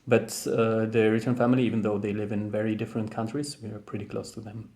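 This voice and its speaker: A young man with a German accent, talking thoughtfully to camera with many pauses and restarts. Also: lowish voice, level tone, warm tone. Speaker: vlogger. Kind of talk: casual talk to camera.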